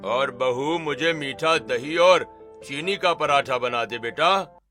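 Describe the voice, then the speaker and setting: A man's voice: a little high, slow, bright, forceful tone, faint music behind. A man playing a demanding, food-craving male elder of an Indian household in a story drama. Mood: insistent. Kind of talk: Hindi audio story dialogue acting.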